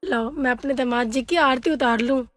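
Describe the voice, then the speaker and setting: A woman's voice: sits high, moderate pace, dry recording, clean studio sound, big-room sound, close to an everyday voice. A woman voicing a gracious, doting middle-aged mother of the bride. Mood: doting welcome.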